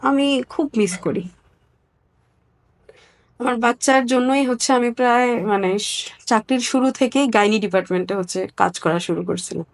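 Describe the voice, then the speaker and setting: A young woman with a Bangladeshi accent and a fairly high voice tells her personal story in an interview. Her delivery is earnest and halting, and she repeats phrases to stress her point. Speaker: interview guest, nurse.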